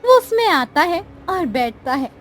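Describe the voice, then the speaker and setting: A woman's voice: extremely high pitch, big pitch swings, a little slow, fairly bright tone. A woman as narrator, reading a scripted moral story for a cartoon.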